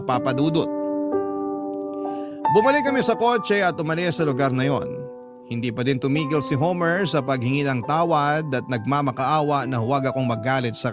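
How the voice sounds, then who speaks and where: A man giving scripted first-person narration of a letter for a radio drama. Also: mid-range voice. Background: music under the voice.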